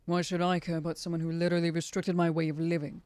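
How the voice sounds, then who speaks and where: A woman's voice: on the low side, little pitch movement, quick pace, a little mellow, no echo, natural voice. A woman playing an irritable, stubborn assassin in an ASMR audio roleplay, blunt and dismissive in her delivery. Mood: exasperated, dismissive. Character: irritable, stubborn female assassin, undead and aloof.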